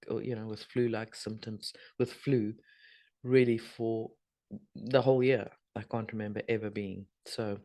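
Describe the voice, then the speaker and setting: A middle-aged woman, hoarse and husky from a sore throat, chatting casually as host of a spiritual video update with rambling, trailing asides. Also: rumbling low, mellow. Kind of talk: casual spoken energy update.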